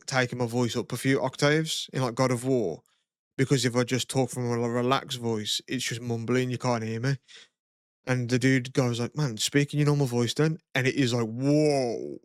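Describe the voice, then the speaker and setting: A man, casually chatting as a podcast co-host, relaxed and swearing freely, with a low throaty voice. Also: no echo, studio quality. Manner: laid-back, swears a lot, lots of "you know what I mean". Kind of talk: casual chat.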